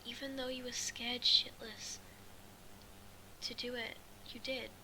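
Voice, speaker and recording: A woman voicing a protective, tender teenage boy in a story reading, soft and reassuring. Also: a little high, level tone, slow pace, heavily acted. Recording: clearly noisy amateur recording.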